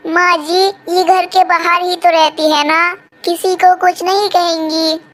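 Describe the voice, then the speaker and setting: A woman's voice: very high-pitched, level tone, unhurried, fairly bright tone, pitched above the performer's own voice. A woman voicing a sweet, obedient young female sparrow from a village, polite and girlish, in a cartoon story. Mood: pleading.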